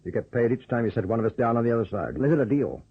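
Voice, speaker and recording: A man playing a tough, brusque pilot in a radio drama, giving urgent clipped orders in a husky voice. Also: medium pitch, lively intonation, racing, no echo. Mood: tense, businesslike. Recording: dull old radio recording.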